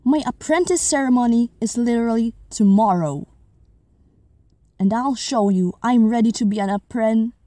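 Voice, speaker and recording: A woman's voice: a little high, normal speed, warm tone, no room sound, natural voice. A woman voicing a cocky, eager male kitten in a fan comic dub. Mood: defiant.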